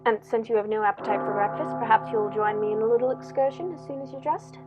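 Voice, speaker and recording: A woman playing a composed, shrewd male detective in a radio play, with a measured, formal, probing way of speaking. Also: on the high side, dull audio, loud music behind the voice, close to an everyday voice.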